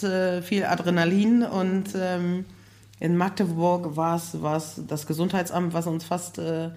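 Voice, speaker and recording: A hoarse woman talking casually as a podcast guest, chatty and laughing often, with many fillers. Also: fairly low pitch, darkish tone. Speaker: guest, building project manager.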